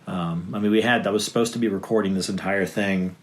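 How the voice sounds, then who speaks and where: A man's voice: deep voice, steady tone, quick pace. A man in his thirties, a father talking about his family as a podcast guest, rambling with many fillers and restarts. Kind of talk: casual podcast conversation.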